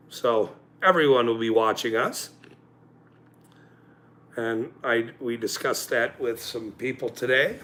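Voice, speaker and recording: A man in his forties hosting a livestream, ranting angrily with profanity and loud outbursts, then joking about himself. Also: mid-range voice. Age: 40s. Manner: angry, profane, with loud outbursts and self-mocking laughs. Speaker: channel host. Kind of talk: livestream rant.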